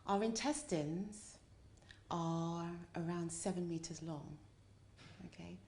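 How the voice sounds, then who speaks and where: A woman's voice: lowish voice, slow, a little mellow, slight background noise. A woman explaining as an expert guest in an interview, emphasising points by repetition and checking in as she goes.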